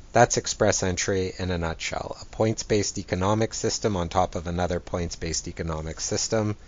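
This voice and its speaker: A man with a deep, low voice reads a scripted podcast explainer in a steady, informative way. The sound is dull and lo-fi, missing its top end. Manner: measured, informative, spells out contact details. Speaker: podcast host.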